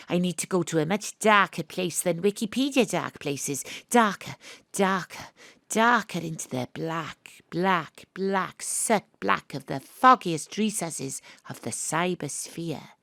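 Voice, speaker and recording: A woman's voice: fairly low pitch, fairly bright tone, no echo, clean studio sound, heavily acted. A woman acting an eccentric amateur detective who narrates her investigation in an audio drama, theatrically and with dramatic repetition. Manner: theatrical, breathless self-talk with dramatic repetition. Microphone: close home studio microphone.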